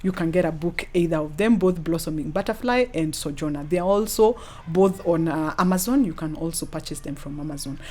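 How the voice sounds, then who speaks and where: Casting: female author as interview guest, Kenyan accent, lowish pitch, earnest and encouraging conversational delivery. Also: brisk, a little mellow. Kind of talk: conversational talk, mixing Swahili and English.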